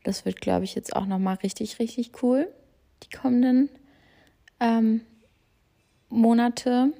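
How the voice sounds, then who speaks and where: A woman's voice: a little high, slow, creaky, dark tone. A young woman hosting her own podcast, chatting casually and rambling with lots of fillers and stray English words. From born in Australia, raised in Germany. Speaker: podcast host. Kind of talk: casual solo podcast chat.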